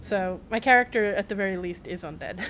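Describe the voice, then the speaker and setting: A woman's voice: mid-range voice, a little fast, a bit bright, slight background noise. A woman giving casual live game commentary, rambling and playfully self-deprecating as she chats to viewers and game characters. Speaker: game livestreamer.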